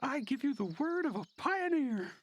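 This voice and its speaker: Visual novel schoolgirl, played by a man: very high voice, sly, terse, insistent, pushing for what she wants. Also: big pitch swings, normal speed, dry recording. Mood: bossy, scheming. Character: sly, scheming young pioneer girl, bossy and insistent.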